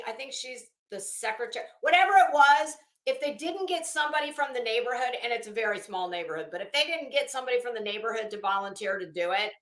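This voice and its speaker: A woman's voice: a little high, pushed and bright, echoey room. A middle-aged woman hosting a casual livestream, rambling through stories in a sassy, wry, profane way. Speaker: livestream host.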